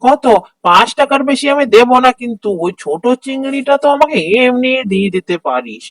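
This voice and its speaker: A man's voice: extremely high pitch, very animated, fairly quick, a bit bright, no echo, exaggerated voice. A man voicing a stingy, crafty old village father-in-law in a cartoon folk tale, grumbling, haggling and exclaiming. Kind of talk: Bengali cartoon fairy-tale dialogue.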